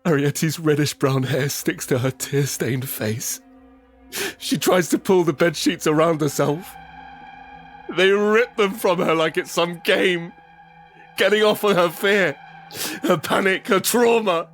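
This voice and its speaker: A man's voice: a little high, a little slow, faint music behind, lightly acted. A man acting a desperate, anguished middle-aged father in a post-apocalyptic audio drama, narrating in the first person with raw intensity. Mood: anguished grief. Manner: intense, emotionally raw, sweary. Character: desperate, anguished father surviving an apocalypse, haunted and guilt-ridden.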